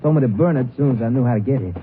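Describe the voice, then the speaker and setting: An actor playing an eager, wheedling small-time crook with American folksy criminal slang in a 1950s radio crime drama. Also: male voice, mid-range voice, lively intonation, racing, natural voice. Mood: assured. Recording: tinny, noisy 1950s radio recording.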